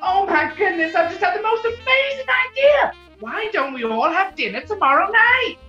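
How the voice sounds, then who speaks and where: Gushing American club chanteuse, high and bright, cooing melodrama, 1940s radio comedy. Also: female voice, very animated, normal speed, reverberant, muffled recording, soft background music, heavily acted. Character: gushing, theatrical young female nightclub singer, gangster's fiancée.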